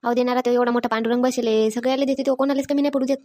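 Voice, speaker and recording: A woman's voice: a little high, steady tone, racing, warm tone, lightly acted. A woman with a Varhadi Marathi accent voicing a chatty, devout and bossy village pilgrim woman in a cartoon comedy. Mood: devout, admonishing.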